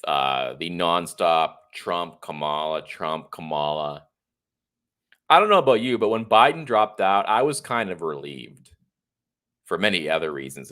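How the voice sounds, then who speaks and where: Brief: man in his 30s, livestream host, stuffy and congested, deep voice, rambling opinions. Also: a bit bright. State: congested and a little tired. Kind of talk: casual solo livestream monologue.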